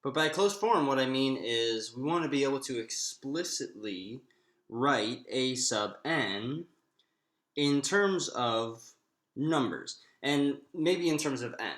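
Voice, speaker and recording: A man giving an informal math lecture, thinking aloud in a halting way with drawn-out pauses. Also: medium pitch, animated, a bit bright. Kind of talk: informal lecture, working through a derivation aloud. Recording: roomy, echoing room sound.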